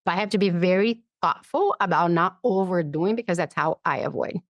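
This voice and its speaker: A woman guest in a conversational interview, explaining ideas through personal examples and acting out her inner dialogue in an animated way. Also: lowish voice, a little fast, no room sound, studio quality. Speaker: podcast guest, psychologist and author.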